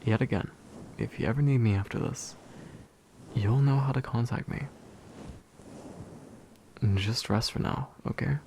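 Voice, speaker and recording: A man playing a gentle, slightly bashful demon in a soft, soothing, intimate ASMR comfort roleplay. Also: medium pitch, a little hiss or hum, lightly acted, poor audio. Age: young adult. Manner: soothing, hushed, unhurried, tender.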